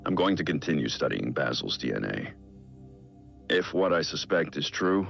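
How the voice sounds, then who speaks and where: An actor playing a brooding middle-aged masked vigilante detective in a video game, recording a grave, measured, introspective audio log in a deep gravelly voice. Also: male voice, very animated, a bit bright, highs cut off, full character voice. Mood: grave, self-questioning. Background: music.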